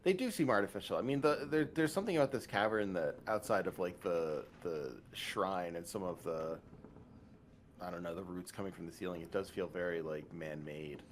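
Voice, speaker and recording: Low-voiced man chatting over noise. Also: normal speed. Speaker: let's play podcast co-host. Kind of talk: casual chat, game commentary.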